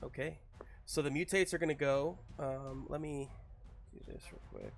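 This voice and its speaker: A man narrating a tabletop superhero game as game master in a casual, halting way, often searching for his words. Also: fairly high pitch, fairly even intonation, creaky, music in the background.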